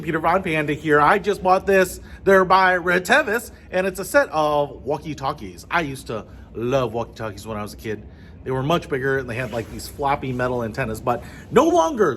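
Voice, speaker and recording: A man's voice: a little high, fairly bright tone, slight background noise. A man giving an upbeat, informal product review to camera as the host, with punchy, slangy phrasing.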